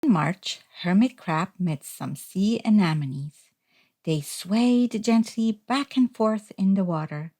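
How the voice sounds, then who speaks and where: Slow-paced woman reading a storybook. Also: mid-range voice, lively intonation, mellow. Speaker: storybook reader. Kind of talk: scripted children's read-aloud narration.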